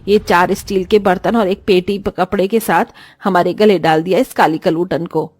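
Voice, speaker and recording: A woman's voice: on the low side, steady tone, normal speed, a little mellow, no room sound, faint music behind, close to an everyday voice. A woman voicing a greedy, scolding mother-in-law in a cartoon story, with a taunting, melodramatic manner. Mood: exasperated, cursing. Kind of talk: Hindi cartoon story voice acting.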